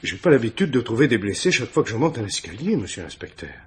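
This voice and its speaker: A man's voice: medium pitch, very animated, fast talker, slight background noise, natural voice. An actor playing an irritable, uneasy male suspect in a 1950s-style French radio mystery, curt and indignant, stammering through his evasions. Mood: irritated, defensive.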